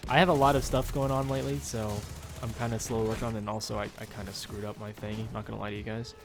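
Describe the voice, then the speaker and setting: A man's voice: on the low side, little pitch movement, fast talker, background noise. A young man with a nasal, stuffed-up voice giving rambling, casual commentary while he plays a game at home, full of ums and uhs. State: nasal from a cold. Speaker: gaming YouTuber.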